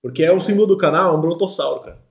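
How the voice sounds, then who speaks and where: A man's voice: on the high side, racing, gravelly. A man in his thirties with a Brazilian Portuguese accent, hosting a food tasting with casual banter. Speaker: food-tasting show host. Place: small studio at a table. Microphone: desk microphone between two speakers.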